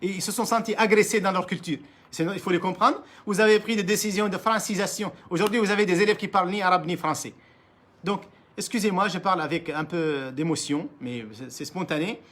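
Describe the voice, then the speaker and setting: A man with a fairly high voice speaks quickly and insistently. His words tumble out with frequent restarts and repeated phrases as he challenges leaders directly, in an impassioned political monologue. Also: a bit bright. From Mauritania. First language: Arabic. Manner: emphatic, repetitive, restarts often, addresses leaders directly. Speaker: political commentator.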